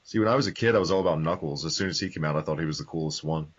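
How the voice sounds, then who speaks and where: Deep husky man talking fast. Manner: quick, opinionated, asks pointed questions. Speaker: podcast co-host. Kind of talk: casual chat about video games. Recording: dull lo-fi podcast audio.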